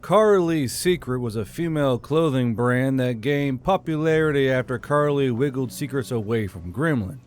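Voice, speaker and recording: A man's voice: mid-range voice, moderate pace, few pauses, a little mellow. A man reading a scripted fantasy audiobook as host and narrator of his channel.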